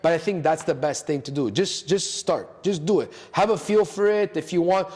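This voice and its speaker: A high-pitched male voice rings out in a reverberant space. He speaks casually as a podcast guest, handing out advice with emphasis and hammering short commands more than once. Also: moderate pace, darkish tone. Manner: emphatic, repeats short imperatives. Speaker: podcast guest, cooking social media creator. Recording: echoey hall-like reverb.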